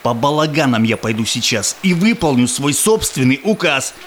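Cynical, menacing Cossack villain played by a man, verse-speaking audio drama role, lively intonation, slight background noise. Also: medium pitch, normal speed, lightly acted. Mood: sly, scornful. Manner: threatening, scheming, speaks in rhymed verse. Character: greedy, menacing Cossack tax collector, cynical bully. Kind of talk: theatrical audio drama acting.

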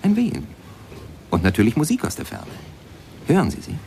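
An actor playing a reflective former steamboat pianist who tells his memories in measured, lyrical radio drama narration. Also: mid-range voice, wildly swooping pitch, a little mellow, a little hiss or hum. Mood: wistful storytelling. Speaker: narrator and protagonist of a radio play.

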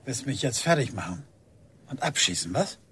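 A man's voice: mid-range voice, very animated, normal speed, pushed and bright, a little hiss or hum. A man acting in a radio drama as a stern, stubborn middle-aged village policeman and father, curt, defensive and menacing. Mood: bitter, defensive.

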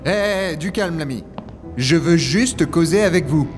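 An actor playing a gruff, impatient but well-meaning dragon hunter in a French sci-fi audio drama, speaking in blunt colloquial language. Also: male voice, fairly high pitch, animated, moderate pace, lightly acted. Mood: calming, firm. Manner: colloquial, swearing, matter-of-fact. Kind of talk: French science-fiction audio drama with first-person narration. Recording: music behind the voice.

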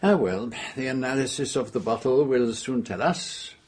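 A man playing a shrewd detective in a radio drama. He is formal and probing, with a medium-pitched, slightly husky voice. He speaks deliberately and will not bend. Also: darkish tone, lightly acted. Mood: stern, probing. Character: shrewd, unbending male detective questioning suspects.

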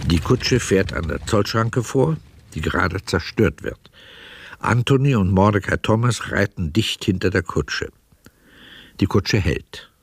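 Guy narrating a radio play, lowish voice, very dry sound, matter of fact. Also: lively intonation, a little slow, creaky, slightly rough, no echo.

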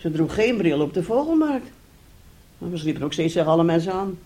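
Bitter, mocking wife, wildly animated. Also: female voice, lowish voice, brisk. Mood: anxious, nagging. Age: middle-aged. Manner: reproachful, sarcastic, dramatic, swinging between hushed and loud. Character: bitter, mocking, theatrical middle-aged wife and mother. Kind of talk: radio drama acting in Dutch.

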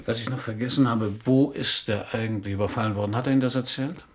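An actor playing a cynical, middle-aged private detective in a German radio crime drama, wry and laconic. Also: male voice, mid-range voice, steady tone, fast, natural voice. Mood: wry, probing. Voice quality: husky, gravelly.

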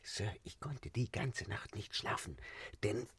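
An actor playing an agitated, eager manservant in a German radio play, confiding urgently. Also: male voice, fairly low pitch, measured pace, noisy background, natural voice.

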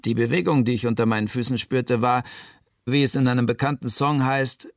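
An actor playing a middle-aged musician who narrates his recollections in a measured, reflective radio monologue. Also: medium pitch, steady tone, no echo, studio quality, natural voice. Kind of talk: scripted radio play monologue.

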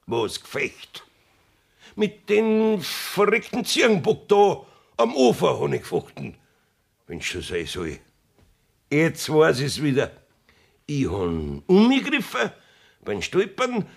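A gravelly, blustering Bavarian village judge. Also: male voice, sits high, very animated, slow pace, pauses often, heavily acted. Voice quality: gravelly, husky. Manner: grumbling, exclamatory, evasive. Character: battered, evasive village judge, gruff and blustering. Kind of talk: dialect radio play acting, 1970s.

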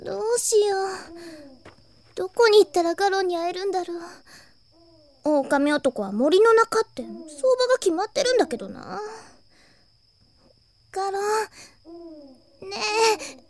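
A woman's voice: very high voice, sing-song, moderate pace, long pauses, darkish tone, full character voice. A woman voicing a kind, naive young catgirl in a Japanese anime-style audio drama, with a cutesy girlish voice. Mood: anxious. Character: cheerful, kind young catgirl of the dark clan.

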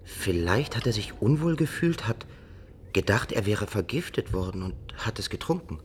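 An actor playing a sceptical, reasoning companion of a medieval emperor in a radio play. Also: male voice, on the low side, very animated, normal speed, a little hiss or hum. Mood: insistent, reasoning.

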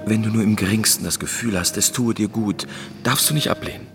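An actor playing a trusting, good-natured provincial husband who dotes on his wife, earnest and gently coaxing, in a German radio drama. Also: lowish voice, brisk, natural voice. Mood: affably coaxing. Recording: music playing behind the voice.

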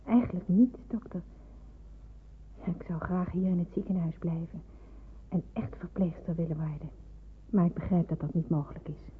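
A woman's voice: on the low side, moderate pace, pauses often, a little hiss or hum, natural voice. A woman acting an eager, deferential teenage girl who wants to be a nurse, in an old radio play, sounding meek and hesitant. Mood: earnest. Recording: muffled narrow-band old radio recording.

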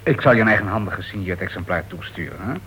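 An actor playing a smug, menacing former lover in a Dutch radio play, mocking and patronising. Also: male voice, sits low, animated, racing, a bit bright, husky, heavily acted. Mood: smug, teasing. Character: smug, menacing male ex-lover, taunting and condescending. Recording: faintly noisy old radio recording.

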